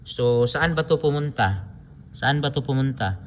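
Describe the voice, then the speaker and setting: Casting: Filipino man, mid-pitched, informal how-to presenter, repetitive step-by-step delivery, some noise behind him. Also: expressive, unhurried. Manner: repetitive, step-by-step explaining with frequent pointing phrases. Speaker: DIY electronics tutorial host. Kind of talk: informal hands-on wiring demonstration.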